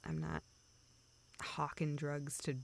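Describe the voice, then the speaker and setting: A young woman playing a self-deprecating, vulnerable friend in an intimate audio roleplay, speaking in halting, self-interrupting dialogue. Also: sits low, sing-song, vocal fry, a little hiss or hum, exaggerated voice. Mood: subdued, dejected. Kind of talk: intimate, naturalistic one-sided dialogue.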